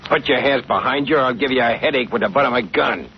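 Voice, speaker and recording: A man playing a gruff, bullying gunman henchman in a 1940s radio adventure, tough and taunting with folksy slang. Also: medium pitch, quick pace, close to an everyday voice. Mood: menacing. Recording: muffled, noisy vintage radio recording.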